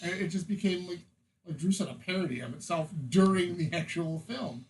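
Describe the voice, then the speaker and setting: A man co-hosting a podcast, telling anecdotes in a casual, profane and animated way. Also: high voice, a little fast, poor audio.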